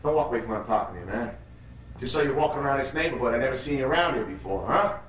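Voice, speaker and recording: A man's voice: medium pitch, expressive, racing, fairly bright tone, close to an everyday voice. Guy acting a mocking new gang leader, pushy, says huh a lot, echoey noisy recording from far off. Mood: mocking, needling. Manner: badgering, repetitive, punctuates lines with "huh?". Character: menacing, mocking male street tough, cocky gang leader. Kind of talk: solo theatrical monologue, street slang. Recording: echoey room, distant mic, noisy.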